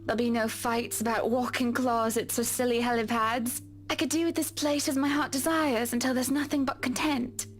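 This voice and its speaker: A woman's voice: a little high, flat delivery, normal speed, few pauses, soft background music, natural voice. A woman playing a wistful, polite young wife. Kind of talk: voiced video game dialogue.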